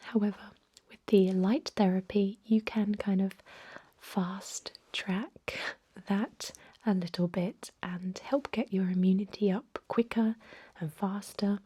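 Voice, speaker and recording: A woman playing a thousand-year-old vampire medic in an ASMR role-play, whispering softly in a gentle, courteous, reassuring way with a hesitant, explanatory manner. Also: mid-range voice, steady tone, takes it slowly. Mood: reassuring, kindly. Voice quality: soft, breathy whisper. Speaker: emergency room clinician in a role-play.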